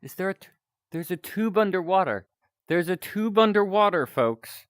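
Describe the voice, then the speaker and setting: A man with a deep, announcer-like voice, chatting casually with viewers while he plays a game. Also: normal speed, smooth, no echo, studio quality. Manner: relaxed, rambling, chatting to viewers. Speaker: streamer.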